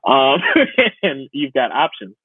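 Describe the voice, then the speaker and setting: A man's voice: medium pitch, big pitch swings, slow pace, husky, no room sound, narrow, tinny audio. A young man co-hosting a podcast in casual chat, often acting out little imagined exchanges as he talks. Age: young adult. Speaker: podcast co-host.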